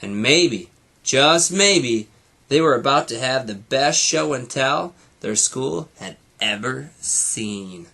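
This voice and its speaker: Animated man reading a children's storybook. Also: mid-range voice, slow pace, vocal fry. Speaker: teacher reading to students. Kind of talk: expressive children's storybook narration.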